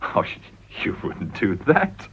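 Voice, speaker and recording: A man's voice: fairly low pitch, huge pitch swings, takes it slowly, vocal fry, background noise, full character voice. An actor playing a ruthless, desperate middle-aged businessman in a radio drama, with a British accent and a blustering, wheedling delivery. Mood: anxious bargaining. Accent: British English. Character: ruthless, desperate, smooth-talking male businessman and political climber. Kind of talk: radio play acting. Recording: dull, muffled old radio recording.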